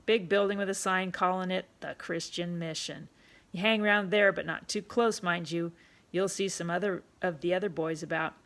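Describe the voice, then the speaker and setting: A woman's voice: fairly low pitch, fairly even intonation, moderate pace, a bit bright. A woman doing a brusque, coarse pub owner. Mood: gruff, bossy. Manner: rough, ungrammatical talk, bossy.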